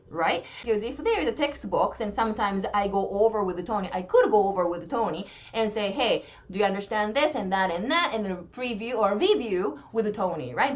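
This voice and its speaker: A woman's voice: mid-range voice, muffled recording. A woman in her thirties from Japan with a Japanese accent, chatting animatedly to her viewers as a vlog host. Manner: chatty, animated, switches between languages, drawn-out explaining. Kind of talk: casual bilingual vlog talk.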